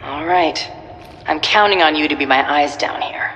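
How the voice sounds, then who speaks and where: Confident special-ops agent, game cinematic, very low female voice, clipped lines. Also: moderate pace, a bit bright, slight background noise, rough recording. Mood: cool, focused. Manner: terse, self-assured. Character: cool, confident female covert operative. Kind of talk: video game cutscene acting.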